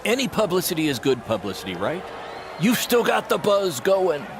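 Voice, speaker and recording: Animated, reassuring wrestling buddy. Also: male voice, sits high, normal speed. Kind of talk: video game cutscene acting. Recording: clear background noise.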